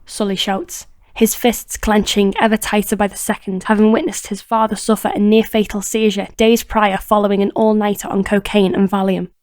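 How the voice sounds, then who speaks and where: A woman's voice: mid-range voice, level tone, moderate pace, few pauses, a little mellow, dry recording. A woman reading scripted narration for a crime audio drama.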